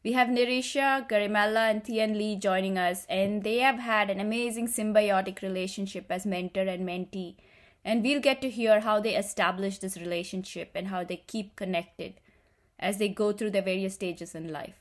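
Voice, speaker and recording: A woman hosting a podcast, reading a scripted intro and asking guests measured, friendly questions. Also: medium pitch, fairly even intonation. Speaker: podcast host.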